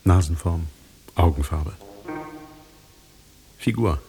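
Low, mellow, wry man. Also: slow pace, soft background music. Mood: dry, brooding. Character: wry, brooding man teasing his old girlfriend. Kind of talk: German radio drama dialogue.

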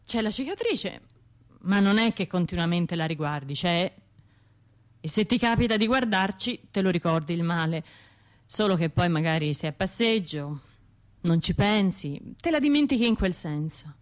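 An actress with a Tuscan accent playing a wounded, self-reproaching bombing survivor in an intimate radio drama monologue, halting and colloquial. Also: female voice, fairly low pitch, lively intonation, lightly acted. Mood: pained, pleading. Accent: Tuscan Italian. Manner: halting, colloquial, shifting between murmurs and outbursts.

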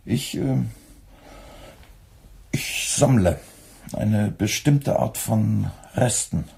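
A tired radio editor, terse and dry, husky, lowish voice; radio play. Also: male voice, very slow, heavily acted. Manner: dry, terse, quietly sardonic. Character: weary young male radio editor, dry and withdrawn.

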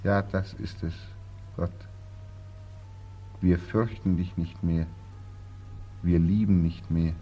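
An actor playing a bitter, exhausted, despairing young soldier home from war in a 1940s German radio drama, with a weary, brooding delivery. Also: male voice, deep voice, steady tone, takes it slowly, pauses often, breathy edge, full character voice. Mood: bitter, accusing. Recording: muffled 1940s radio recording, faint music.

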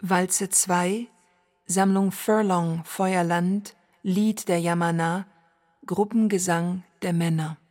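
A woman playing a detached archivist in a radio play, reciting entries and translations in a measured, word-by-word way. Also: lowish voice, fairly even intonation. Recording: loud music under the voice.

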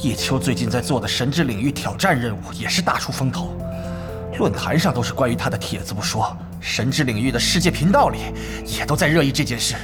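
A man's voice: mid-range voice, expressive, a little fast, over music, close to an everyday voice. A man playing an anxious, deferential guild officer giving earnest reports in a Mandarin audio drama. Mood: worried, flattering.

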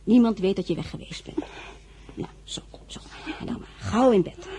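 A woman's voice: mid-range voice, normal speed, warm tone, a little hiss or hum, lightly acted. An actress playing a motherly older servant in a radio drama, fussing, soothing and repeating herself. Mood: reassuring. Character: motherly, fussing older female servant, protective and worldly-wise.

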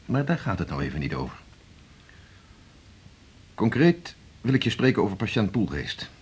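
Male senior doctor, radio drama. Condescending and commanding, very animated delivery with a husky edge. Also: lowish voice, moderate pace, heavily acted. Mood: awkward, subdued. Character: domineering, prying male senior hospital doctor, needy underneath. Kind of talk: 1980s Dutch radio drama acting.

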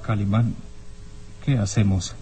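An actor playing a serious, worried police inspector in a radio serial, with a sober, brooding, formal manner. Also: male voice, lowish voice, steady tone, unhurried, over loud music, lightly acted, low-quality recording. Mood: uneasy. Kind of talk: radio serial drama acting.

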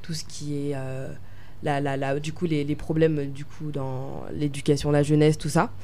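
A woman's voice: sits low, little pitch movement, normal speed, mellow, a little hiss or hum. A young woman from France answering interview questions as a pageant winner, hesitant and full of fillers and restarts. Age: young adult.

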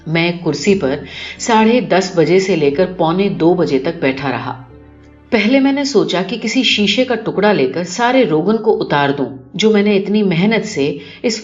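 Low-voiced woman narrating over music. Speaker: audiobook narrator. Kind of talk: scripted narration of a literary short story, read in the first person. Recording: dull lo-fi recording with music behind. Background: clear music.

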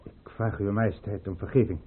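An actor playing a dutiful, deferential imperial adjutant in a Dutch radio play, formal and obedient. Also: male voice, fairly low pitch, fairly quick, breathy edge. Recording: muffled old radio play recording.

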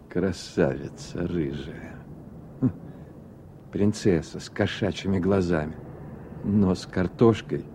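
An actor playing a sardonic, mock-solemn inner voice in a 1980s Soviet radio drama, measured and sarcastic. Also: male voice, on the low side, warm tone, natural voice. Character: sardonic male inner voice mocking the doctor. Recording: faintly noisy archive radio play recording.

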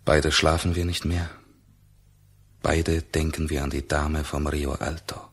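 An actor playing a companionable, mournful feather hunter in a dramatic, emotive German radio play. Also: male voice, very deep voice, flat delivery, measured pace. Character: Narciso, male jungle feather hunter, companionable, mournful, hurt.

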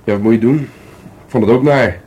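An actor playing a grumbling but playful police detective in a radio play, speaking informally with a Netherlands Dutch accent. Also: male voice, mid-range voice, moderate pace, darkish tone, husky, natural voice. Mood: grumbling, sulky. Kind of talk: naturalistic radio play dialogue. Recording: faintly noisy radio play recording.

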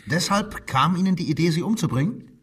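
An actor playing a stern, insistent police interrogator who puts clipped, probing questions in a German radio crime drama. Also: male voice, fairly high pitch, animated, a little fast, a bit bright, husky.

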